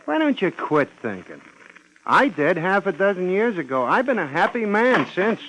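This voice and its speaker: A folksy American diner counterman in old-time radio drama. His fairly high voice bobs around animatedly as he grumbles and wisecracks good-naturedly in colloquial speech. Slight noise sits on the vintage recording. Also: very animated, moderate pace, fairly bright tone, poor audio. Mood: easygoing. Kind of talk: 1950s radio drama character acting.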